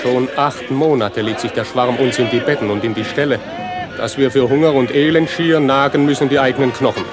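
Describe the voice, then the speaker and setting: A man's voice: medium pitch, fairly quick, music in the background, close to an everyday voice. An actor playing a grumbling, crafty peasant father in a German radio play, speaking rustic declamatory rhyme. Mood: bitter, grumbling.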